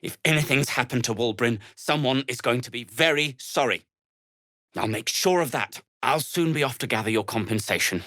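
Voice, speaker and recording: An actor playing an earnest, worried male deep gnome tinkerer in a video game, with a dry, wordy and formal manner. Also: normal speed, sharp tone, no room sound. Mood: solemn, resolute. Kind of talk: video game character dialogue.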